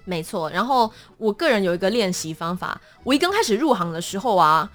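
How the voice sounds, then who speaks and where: A woman's voice: medium pitch, brisk, soft background music. A woman with a Taiwanese accent chatting casually and expressively as an interview guest. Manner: animated, expressive storytelling.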